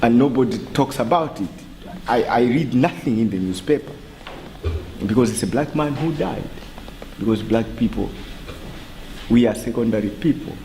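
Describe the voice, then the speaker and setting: A man in an audience speaking up spontaneously, halting and searching for words. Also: mid-range voice, expressive, vocal fry, dark tone. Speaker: audience member. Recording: very noisy echoey hall, distant mic.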